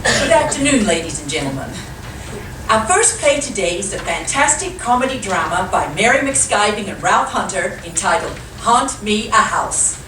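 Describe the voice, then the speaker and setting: A woman's voice: mid-range voice, very animated, moderate pace, natural voice. A woman performing as the cheery narrator of a comedy radio play, in a scripted theatrical style. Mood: playful, inviting. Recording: echoey hall, distant mic, background noise.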